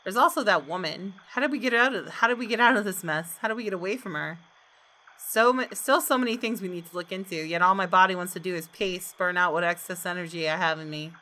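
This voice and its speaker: A woman's voice: on the low side, quick pace, bright, forceful tone. A woman hosting a gaming video, reading visual novel narration aloud with dramatic expression.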